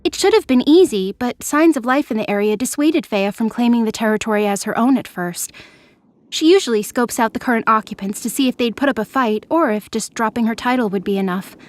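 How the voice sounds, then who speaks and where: Higher-voiced woman narrating a story. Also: a little fast, dry recording. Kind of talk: scripted dramatic story narration.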